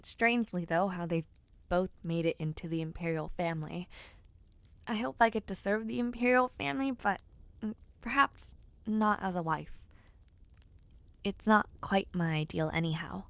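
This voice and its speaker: A woman playing a spirited, playfully impatient young noblewoman who hopes to be a knight, in an expressive audio roleplay spoken straight to the listener. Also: fairly low pitch, normal speed, gravelly, no room sound. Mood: calm, reflective. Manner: expressive, sighing, genteel wording with teasing asides. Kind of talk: audio roleplay acting, addressing the listener directly.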